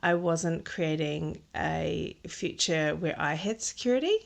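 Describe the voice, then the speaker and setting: A woman in her thirties with a low voice. Her speech has a gravelly, creaky edge. She talks about her working life in an unhurried way, pausing on ums as she thinks. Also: lively intonation. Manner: thoughtful, many ums and restarts. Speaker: podcast guest, textile designer. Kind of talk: casual interview conversation.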